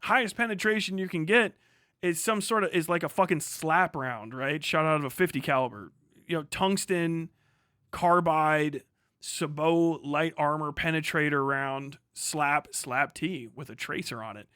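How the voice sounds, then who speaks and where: Male streamer, forceful bright tone, slightly high pitch, casual commentary, heavy swearing, rambling emphatic explanations. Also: unhurried, clear, resonant tone, no room sound, studio quality. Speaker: livestream host.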